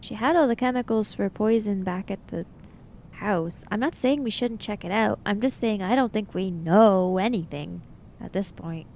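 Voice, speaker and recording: A woman's voice: mid-range voice, moderate pace, darkish tone, slight background noise, low-quality recording. A woman playing a tabletop role-playing game, talking casually in a matter-of-fact, argumentative way. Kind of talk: casual in-character game discussion.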